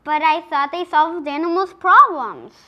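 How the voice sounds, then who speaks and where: A teenage girl acting as a student news anchor, delivering scripted comic lines in a playfully clueless, deadpan way. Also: sits high, measured pace, muffled recording.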